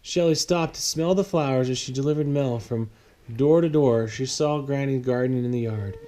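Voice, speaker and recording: Mellow-voiced man reading a bedtime story. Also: medium pitch, normal speed, a little hiss or hum. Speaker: storybook reader, a dad reading aloud. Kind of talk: children's bedtime story read aloud.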